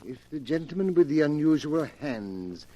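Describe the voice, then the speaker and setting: An actor playing a deferential, uneasy manservant in a 1940s radio horror drama, polite and hesitant. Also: male voice, a little high, sing-song, normal speed, mellow. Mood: reading aloud.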